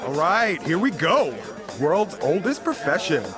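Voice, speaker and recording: A man's voice: high-pitched, big pitch swings, unhurried, music in the background, crowd noise. A man playing an awkward, naive boy in an audio drama, rambling self-consciously. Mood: nervous, bluffing. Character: awkward, naive boy undercover selling his wares.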